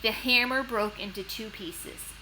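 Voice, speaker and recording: Bright, high-voiced woman reading to children. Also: unhurried, a little hiss or hum, low-quality recording. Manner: expressive, clearly addressed to children. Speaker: storyteller and host. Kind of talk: children's read-aloud narration.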